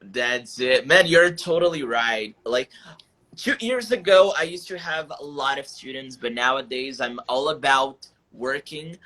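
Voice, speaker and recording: A man's voice: mid-range voice, slow, bright, forceful tone, rough recording. A Brazilian man with a Brazilian accent hosting a casual live stream, chatting and switching between Portuguese and English. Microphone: phone on a tripod.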